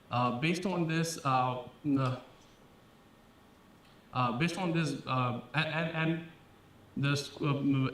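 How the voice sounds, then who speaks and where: A man from Nepal with a Nepali accent, presenting a startup pitch and answering questions in a halting way, often repeating and restarting phrases. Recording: echoey room, distant mic, faint noise.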